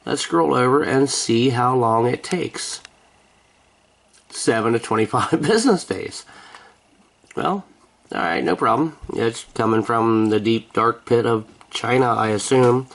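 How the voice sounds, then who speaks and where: A man's voice: mid-range voice, unhurried, gravelly, a bit bright. An American man vlogging in a rambling, casual chat, with a wry, joking, sarcastic manner. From United States.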